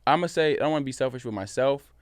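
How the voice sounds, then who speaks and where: A man in his twenties from Washington State, a podcast guest talking in a relaxed, easygoing way. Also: medium pitch, very fast, no room sound, clean studio sound. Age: 20s. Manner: relaxed, easygoing, many fillers. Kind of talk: casual podcast chat.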